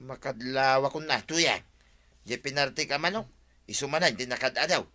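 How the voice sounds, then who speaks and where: A man's voice: medium pitch, moderate pace, pushed and bright, close to an everyday voice. A man with an Ilocano accent playing a grumbling, scheming village husband in a comedy radio drama, complaining and exclaiming.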